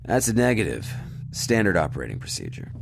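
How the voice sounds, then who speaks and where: An actor playing a stern corporate security officer who speaks in curt, procedural orders. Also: male voice, low-pitched, huge pitch swings, fairly quick, creaky, noisy background, full character voice. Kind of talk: audio drama acting.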